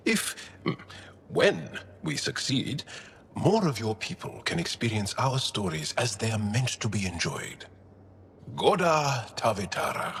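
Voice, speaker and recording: A man playing a gentle, earnest alien record keeper in a video game, speaking thoughtfully and with some hesitation. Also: fairly low pitch, breathy edge, heavily acted. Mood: wistful. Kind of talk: naturalistic video game acting.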